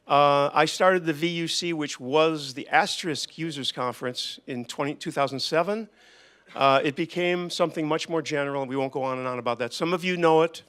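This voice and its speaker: Breezy conference panel host. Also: male voice, on the high side, a bit bright. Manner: breezy, spells words out. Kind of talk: casual panel introduction. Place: conference stage. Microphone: handheld microphone passed around.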